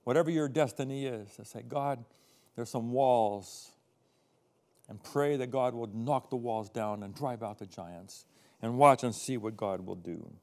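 Older pastor preaching with swelling emphasis. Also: male voice, medium pitch, unhurried. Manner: swings from soft asides to loud, emphatic scripture reading. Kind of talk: sermon. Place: studio set with a podium.

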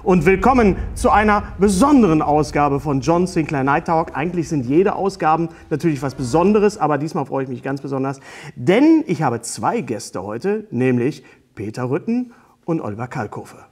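A middle-aged male host with a notably high voice moderates a studio talk. He is playful and teasing, putting his questions with mock seriousness. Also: expressive, normal speed, a little mellow, breathy edge. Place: small indoor studio, seated at a table.

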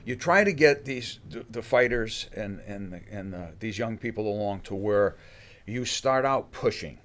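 An older man with a low-pitched voice talks about coaching fighters in a halting, searching way. He restarts his sentences again and again before he lands on a point. He is recorded close on a studio microphone. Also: expressive, a bit bright. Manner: halting, many restarts, acts out fighters' thoughts. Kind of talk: casual interview talk. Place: studio room with bookshelves. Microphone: studio mic on a boom arm.

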